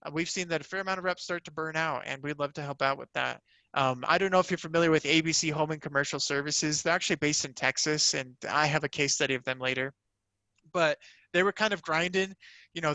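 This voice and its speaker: A man's voice: on the high side, sharp tone, dry recording, poor audio. A man giving an informal, upbeat sales presentation as a company representative, conversational and peppered with "you know".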